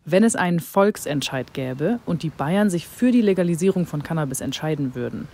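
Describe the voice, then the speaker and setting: A man's voice: a little high, animated, fairly quick, vocal fry, a little mellow, slight background noise. A man reading out street-poll questions as the interviewer.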